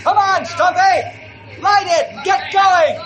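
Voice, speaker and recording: A man's voice: very high-pitched, slow pace. A man playing a commanding forest ranger who barks orders urgently in an old radio adventure drama. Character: commanding male ranger leading men against a forest fire. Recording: old radio drama recording, commotion behind.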